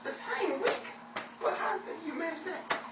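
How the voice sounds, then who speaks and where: Woman acting a high, haughty radio comedy character. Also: expressive, moderate pace. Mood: astonished. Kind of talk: old-time radio style acting. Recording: echoey room, distant mic, noisy.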